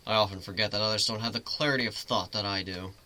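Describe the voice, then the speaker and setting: A man acting a low, smug mysterious stranger. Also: fairly even intonation, fast talker, pushed and bright, a little hiss or hum. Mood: smug, calm. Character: mysterious human man, smug, calm, all-knowing.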